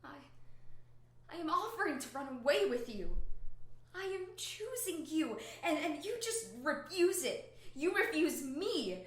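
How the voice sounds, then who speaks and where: Determined young princess, high voice that jumps around, echoey room. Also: female voice, big pitch swings, a little slow. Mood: desperate. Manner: earnest, pleading, gently teasing. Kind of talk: radio drama acting.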